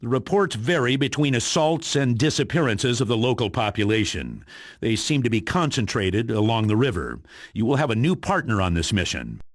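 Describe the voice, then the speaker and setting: A man's voice: mid-range voice, sing-song, normal speed, no echo, clean studio sound. A man playing a stern, businesslike spy commander giving video game mission briefings in an authoritative, matter-of-fact way.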